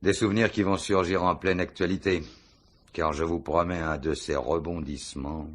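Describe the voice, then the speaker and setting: A man's voice: deep voice, steady tone, normal speed. An actor playing a wily, insistent police commissioner in a French radio mystery, repeating his words with probing, mock polite courtesy. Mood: probing, courteous. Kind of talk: French radio crime drama acting.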